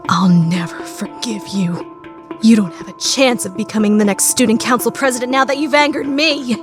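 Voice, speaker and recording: Woman as a haughty, high-voiced anime schoolgirl. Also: normal speed, natural voice. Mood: indignant, vengeful. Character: proud, touchy, haughty teenage schoolgirl. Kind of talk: anime fan dub radio play. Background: background music.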